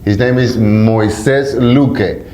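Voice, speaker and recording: A man's voice: on the low side, steady tone, slow, background noise. Playful male radio host, echoey room. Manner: friendly, playful, stumbles over acronyms. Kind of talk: casual interview hosting.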